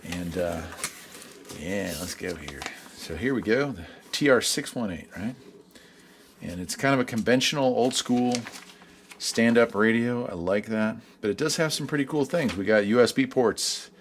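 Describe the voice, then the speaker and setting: Man, low voice, easygoing folksy product reviewer, casual chat, faint background noise. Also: expressive, moderate pace.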